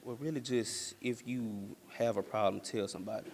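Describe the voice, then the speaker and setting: A man with an American accent gives casual interview answers in a flat, even tone. His voice is gravelly, with faint background noise on the recording. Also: fairly low pitch, moderate pace. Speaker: stage actor being interviewed.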